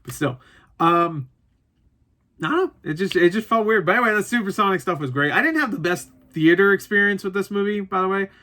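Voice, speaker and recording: A man's voice: sits high, lively intonation, a little fast, bright, forceful tone. A man of thirty giving a casual, unscripted movie review as host, rambling conversationally and swearing casually.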